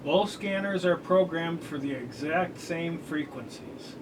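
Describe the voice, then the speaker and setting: A man's voice: fairly high pitch, measured pace. A middle-aged American man giving a casual product demonstration with folksy, colloquial phrasing. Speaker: radio scanner hobbyist and reviewer. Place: indoor room at home. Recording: distant camera mic, clearly noisy room. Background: radio scanner chatter, noise.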